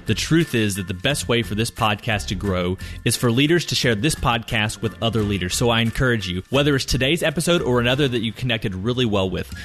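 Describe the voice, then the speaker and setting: A man hosting a leadership podcast, thanking his guest and giving an upbeat, clear summary of key takeaways. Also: on the low side, fairly bright tone. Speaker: podcast host. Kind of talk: podcast interview and recap.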